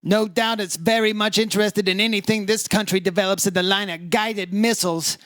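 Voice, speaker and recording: A man's voice: high voice, fairly even intonation, a little fast, a bit bright, no room sound. An actor playing a shrewd, brash insurance investigator in a radio detective play, slangy and clipped in his talk. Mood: accusing. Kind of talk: old-time radio detective acting.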